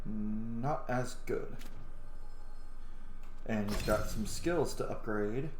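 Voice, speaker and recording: A man's voice: fairly low pitch, very slow, a little mellow, roomy sound, slight background noise. A man giving casual, informal commentary on a video game playthrough, thinking aloud as he goes. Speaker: gaming video host. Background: game music.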